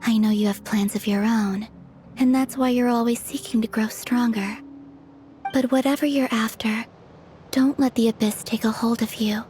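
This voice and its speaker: Soft-spoken, gentle moon maiden played by an actress. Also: female voice, a little high, steady tone, normal speed, a little hiss or hum, natural voice. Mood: gentle, concerned. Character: gentle, otherworldly young moon maiden, quietly resolute. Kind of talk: video game cutscene voice acting.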